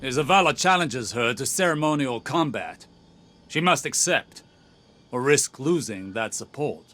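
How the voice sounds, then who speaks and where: An actor playing an aloof male mentor who speaks in a measured, commanding way. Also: medium pitch, expressive, sharp tone. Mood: urgent. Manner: measured, cool, stern. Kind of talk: video game cutscene dialogue. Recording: game score behind.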